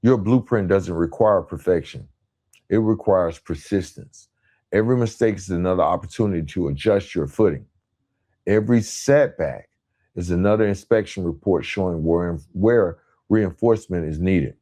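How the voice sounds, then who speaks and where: A man with a very low, dark and mellow voice hosts a motivational podcast. He speaks earnestly and emphatically. His phrases often come in parallel, repeated runs that press each point home. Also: moderate pace. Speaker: podcast host. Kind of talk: motivational monologue.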